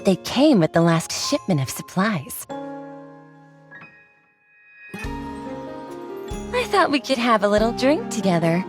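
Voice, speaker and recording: A woman playing a calm, easygoing young squadmate in a video game, with a gentle, reassuring manner. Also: mid-range voice, normal speed, over music, close to an everyday voice. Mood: playful. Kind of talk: voiced game story dialogue.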